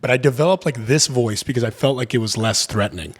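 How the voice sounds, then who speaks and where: A deep-voiced man in his thirties tells his story as a podcast guest. He rambles loosely, piling up fillers and false starts, always casual and open. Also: fast talker. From Miami area. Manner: rambling, many fillers and restarts.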